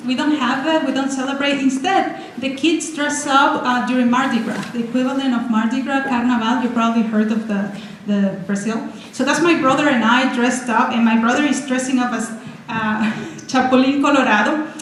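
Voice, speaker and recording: A lively woman from Venezuela speaks with excitement, often restarting her sentences as she walks a college audience through her slides. Her voice sits a little high, and it rings out in a very echoey lecture hall with a faint hiss behind it. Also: normal speed. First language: Spanish. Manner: excited, chatty, many restarts. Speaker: guest speaker. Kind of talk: energetic public talk with slides.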